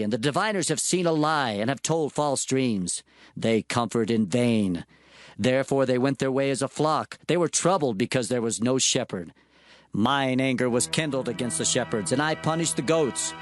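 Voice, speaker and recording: An actor playing a solemn prophet, declaiming divine oracles in archaic language in a dramatized scripture reading. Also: male voice, mid-range voice, no room sound, studio quality, lightly acted.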